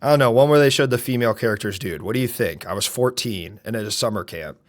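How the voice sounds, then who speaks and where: A young man with a deep voice, streaming and chatting casually, loud and brash, swinging from shouts to mutters. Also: fast. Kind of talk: casual live-stream chat.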